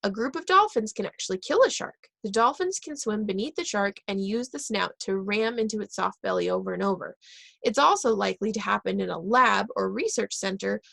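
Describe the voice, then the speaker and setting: Gravelly woman reading a kids' book. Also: mid-range voice, expressive, fairly bright tone, no room sound. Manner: reads aloud with pauses and self-corrections. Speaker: reader. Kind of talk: reading a children's book aloud.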